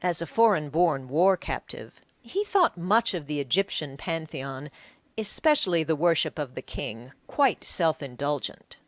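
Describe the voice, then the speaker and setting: Expressive low-voiced woman narrator. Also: unhurried, no room sound. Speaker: audiobook narrator. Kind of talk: dramatic scripted storytelling.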